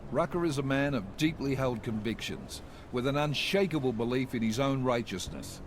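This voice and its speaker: A man's voice: mid-range voice, moderate pace, noisy background, natural voice. An actor playing a stern male agency director who gives firm, curt orders. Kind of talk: video game dialogue.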